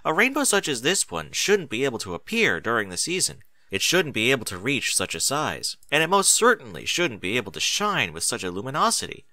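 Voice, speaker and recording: A man reading a scripted story as a narrator, in an expressive and deliberate dramatic style. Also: mid-range voice, no room sound. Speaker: narrator of fan fiction audiobook.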